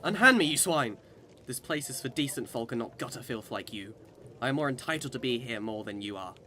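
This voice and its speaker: A man's voice: mid-range voice, expressive, fairly quick, pushed and bright, slight background noise. A man acting in a radio drama as a boastful immortal Englishman who swings between cheer and despair, with theatrical old-time speech. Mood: indignant.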